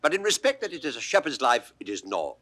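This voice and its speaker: Swooping, mock-grave British court jester. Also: male voice, mid-range voice, wildly swooping pitch, fast talker, heavy background noise. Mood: mock-philosophical, teasing. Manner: mock-philosophical, crisply balanced phrasing. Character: witty, mock-grave court jester lording it over a shepherd. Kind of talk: classical Shakespearean stage acting. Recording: 1960s studio audio drama recording.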